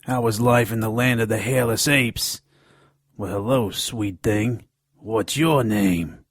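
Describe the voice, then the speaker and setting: A seedy gnome informant in an audio drama. The voice is husky and full of fry, at an unhurried pace. He leers and wisecracks with sardonic relish. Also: on the low side, no room sound, studio quality. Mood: leering, sarcastic. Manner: leering, wisecracking. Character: lecherous, sardonic gnome informant with keen supernatural nose.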